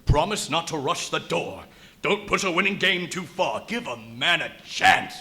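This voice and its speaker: A man's voice: on the high side, expressive, normal speed, sharp tone. A man playing a cornered captive at gunpoint in a live radio play, pleading yet defiant in a strained manner.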